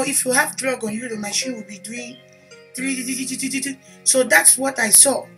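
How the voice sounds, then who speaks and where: A woman's voice: fairly high pitch, fairly bright tone, low-quality recording. Cameroonian French woman giving a testimony. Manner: earnest storytelling with pauses and restarts. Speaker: testimony giver. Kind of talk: spoken church testimony. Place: indoor room at home. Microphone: desk microphone in front.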